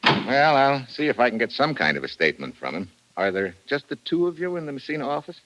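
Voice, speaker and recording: An actor playing a suave, wry American foreign correspondent in an old-time radio drama. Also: male voice, medium pitch, sing-song, quick pace, fairly bright tone, slight background noise, poor audio. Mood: casual. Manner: wry, casual, smooth-talking.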